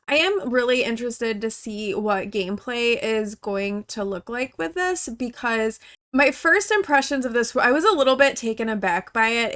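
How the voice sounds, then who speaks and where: A woman's voice: on the high side, fairly bright tone. A woman YouTuber, still congested from a cold, chatting casually as she reacts to a game trailer. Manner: chatty, with many "like" fillers.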